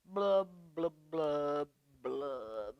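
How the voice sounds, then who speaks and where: A woman's voice: deep voice, animated, drawn-out words, smooth, dry recording, highs cut off, full character voice. A woman voicing a glum, self-pitying male fish from a picture book, in a dramatic singsong manner. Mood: glum, resigned.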